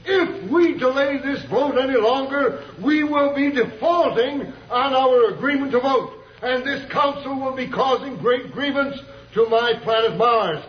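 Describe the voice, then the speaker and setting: Strident Martian delegate, extremely high voice, oratorical, impatient, echoey old radio recording. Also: male voice, unhurried, few pauses. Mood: indignant, demanding. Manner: oratorical, insistent. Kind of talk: old-time radio serial acting. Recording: muffled, echoey old radio, faint hiss.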